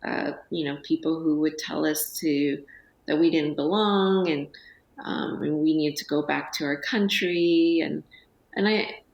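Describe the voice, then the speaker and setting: A woman in her forties, a guest on a TV talk show, speaking softly and hesitantly with many pauses and fillers. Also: deep voice, creaky, reverberant, dull audio. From United States. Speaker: guest, business owner. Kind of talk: TV interview. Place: TV studio.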